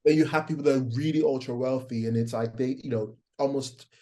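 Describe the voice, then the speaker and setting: A man's voice: medium pitch, brisk. Man with a Nigerian accent, American twang. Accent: Nigerian with a faint American twang. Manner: relaxed, rambling with many fillers and restarts. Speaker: guest. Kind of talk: casual interview chat.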